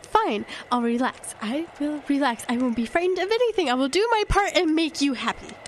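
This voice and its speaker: Anxious young fiancée, high-pitched voice, defiant, doubting, emphatic audio drama delivery, faint background noise. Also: female voice, animated, a little fast. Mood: sarcastic, defiant. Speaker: actor. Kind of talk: audio drama acting with inner-monologue narration.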